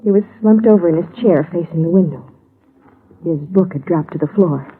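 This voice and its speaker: A woman's voice: fairly low pitch, moderate pace, breathy edge. An actress playing a grieving, polite young woman in a 1950s radio drama, earnest and subdued. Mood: somber recounting. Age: young adult. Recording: muffled, tinny old radio recording.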